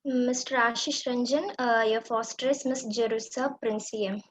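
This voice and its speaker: Woman, event host, slightly high pitch, faint background noise. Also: poor audio. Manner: steady, with frequent "uh" pauses. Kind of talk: reading out names at an online event.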